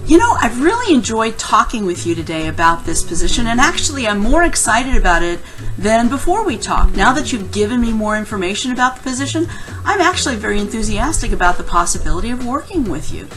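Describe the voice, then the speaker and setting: A woman giving instructional career advice in a conversational, emphatic way, like a coach speaking to viewers. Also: medium pitch. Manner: conversational, emphatic, acts out example lines. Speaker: career coach presenting interview tips. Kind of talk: instructional advice talk to camera.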